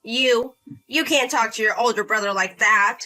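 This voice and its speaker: A woman's voice: on the high side, normal speed, bright, forceful tone, faint music behind, low-quality recording. A woman voicing a bullying, condescending man. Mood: defensive. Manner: demanding, condescending, scolding. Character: entitled bullying older brother-in-law, man in his 30s.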